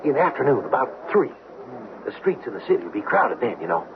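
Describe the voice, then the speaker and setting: A man's voice: mid-range voice, sing-song, fast. A man playing a scheming, cocksure convict in an old-time radio drama, talking in a folksy, urgent, confident way. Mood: conspiratorial, confident. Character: scheming, cocksure male convict planning a prison breakout. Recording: dull, noisy old radio recording.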